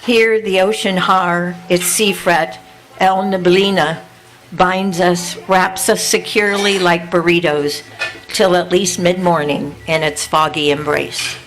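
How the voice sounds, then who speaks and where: Soft-spoken older woman reading poetry. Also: on the low side, fairly even intonation, over music, rough recording. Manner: measured reading with pauses, then loose and halting between poems. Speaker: poet reading at an event. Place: indoor venue with an audience.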